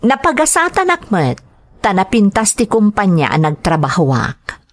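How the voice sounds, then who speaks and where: Expressive Ilocano woman narrator. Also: mid-range voice, moderate pace. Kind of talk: dramatic scripted narration of a letter.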